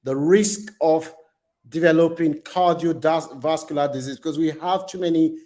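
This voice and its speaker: A man's voice: a little high, fairly even intonation, normal speed, a little mellow. A man presenting and asking questions as an interviewer, in an earnest informational style about everyday matters.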